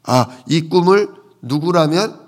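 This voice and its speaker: A man preaching a conversational Bible sermon as a pastor, explaining things with rhetorical questions in a gravelly voice. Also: fairly high pitch, lively intonation, slow pace. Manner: explanatory, asks the congregation rhetorical questions and answers them.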